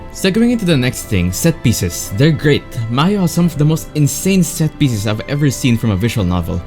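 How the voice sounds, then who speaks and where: A man's voice: fairly low pitch, a little mellow. A man giving an enthusiastic, opinionated video game review as a voice-over. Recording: voice over background music. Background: music.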